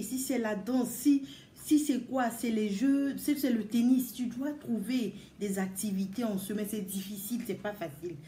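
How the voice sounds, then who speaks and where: A woman's voice: fairly high pitch, warm tone, slight background noise. Earnest woman in an echoey room. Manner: earnest, emphatic, repeats words and addresses listeners directly. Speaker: vlogger giving advice to mothers. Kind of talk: casual advice talk to viewers.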